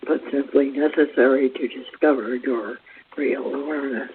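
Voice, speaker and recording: A woman hosting and reading a spiritual book aloud in a deliberate, halting way, stumbling here and there. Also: extremely low pitch, fairly even intonation, noisy background. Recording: thin phone-like radio audio.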